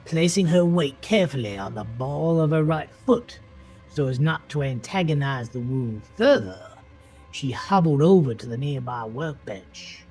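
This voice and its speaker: A man tells a horror story in a deliberate, suspenseful way. His pacing is slow and his pitch moves expressively through the dramatic scripted narration. Faint music runs underneath his voice. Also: lively intonation, darkish tone, low-quality recording. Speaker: audiobook-style narrator.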